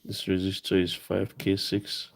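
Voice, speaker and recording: A man presenting a casual hands-on electronics tutorial, explaining as he works in a halting, informal way, thinking aloud. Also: low-pitched, monotone, vocal fry.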